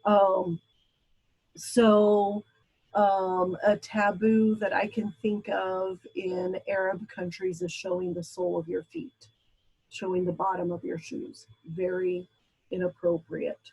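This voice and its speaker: A woman's voice: mid-range voice, level tone, slow, gravelly, darkish tone, slightly rough, rough recording. A woman from Brazil teaching a class in a casual, chatty lecture style, with frequent ums and asides. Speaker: instructor.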